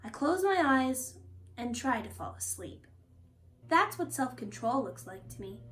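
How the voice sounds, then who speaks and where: A woman reads a picture book aloud for children. Her voice sits high and moves in very lively melodic swings. She phrases each line expressively and deliberately, as a storytime host does.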